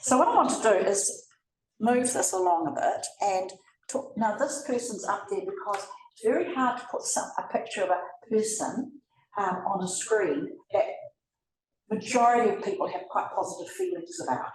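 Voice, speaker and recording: A woman's voice: mid-range voice, lively intonation, moderate pace, slight background noise. A woman with a New Zealand accent giving a conversational talk to a live audience, turning to the listeners with asides. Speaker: presenter. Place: event room. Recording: roomy, from a distance.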